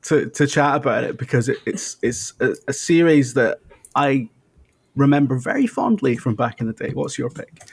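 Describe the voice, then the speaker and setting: A man's voice: mid-range voice, expressive, creaky, husky. Chatty man in his thirties. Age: 30s. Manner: chatty, quick to agree. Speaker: podcast host. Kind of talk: casual chat.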